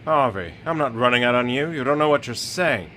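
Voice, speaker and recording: An actor playing a cold, evasive swindling company boss, curt and commanding, in old radio crime drama style. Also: male voice, mid-range voice, animated, fairly quick, lightly acted. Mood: evasive, firm. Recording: loud vehicle noise behind the voice.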